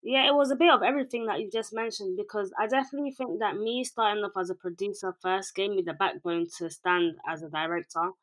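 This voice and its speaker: A young woman from England talks in a calm, level manner, answering interview questions about her work. Her voice sits at an ordinary pitch and she describes her own delivery as monotone. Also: fast, few pauses, poor audio. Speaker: music video director and producer. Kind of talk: interview guest, casual conversation.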